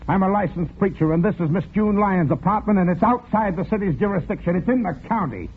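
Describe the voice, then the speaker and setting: An actor playing a solemn, formal and firm preacher in a 1940s radio drama. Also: male voice, sits high, animated, fast, slight background noise. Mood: defiant. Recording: thin phone-like old radio recording.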